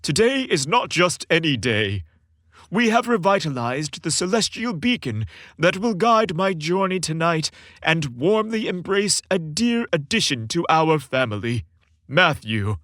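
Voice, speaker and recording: A jolly old storybook Santa, acted out by a man, booms with fatherly command. The voice is lifted and animated, swinging widely as it addresses listeners. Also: a little slow, no echo, studio quality. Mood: grand, proclaiming. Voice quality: booming. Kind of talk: storybook character voice.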